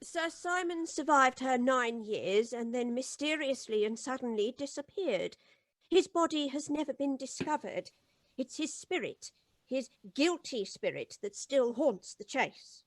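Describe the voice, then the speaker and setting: A woman's voice: high-pitched, lively intonation, unhurried, no room sound, poor audio. A woman playing a solemn, foreboding housekeeper with a formal manner in an old-time radio drama. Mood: ominous. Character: solemn, ominous English housekeeper. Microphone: studio mic, video call.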